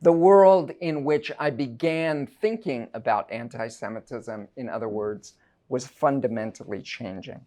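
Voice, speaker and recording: A middle-aged man from South Africa, a university professor delivering a prepared lecture in a measured, deliberate, scholarly way. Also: medium pitch, warm tone. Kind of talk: prepared academic lecture, read aloud.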